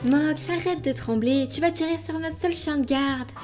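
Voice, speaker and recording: A matter-of-fact female demon assassin, acted by a woman with a high voice. She coaxes and encourages confidently, over clear background music. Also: moderate pace, lightly acted. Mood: calm, coaxing. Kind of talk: animated comedy fan dub. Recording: music behind the voice.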